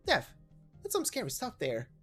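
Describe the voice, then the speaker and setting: A woman's voice: mid-range voice, huge pitch swings, normal speed, a bit bright, soft background music, pitched above the performer's own voice. A woman voicing a brash, easygoing young female knight with a breezy, teasing manner in a game story. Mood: cocky, taunting.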